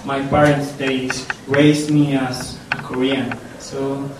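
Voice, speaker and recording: A young man from Colombia, giving a personal testimony to a gathering in a nervous, halting, self-deprecating way. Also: medium pitch, little pitch movement. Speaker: church testimony speaker. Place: reverberant room, speaking to a gathering. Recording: echoey room, distant mic, faint noise.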